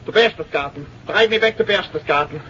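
An imperious German dictator for a radio play, volatile and paranoid. The voice is tense, forceful and fairly high, barking clipped orders in a German accent. The old recording sounds muffled. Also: male voice, brisk, slight background noise. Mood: scornful, impatient. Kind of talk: old-time radio drama acting.

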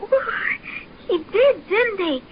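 A woman's voice: extremely high pitch, expressive, slow, heavily acted. A sweet, wide-eyed little girl. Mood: wondering. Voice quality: gushing, wondering. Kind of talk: 1930s radio drama acting. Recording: dull old radio transcription with faint hiss.

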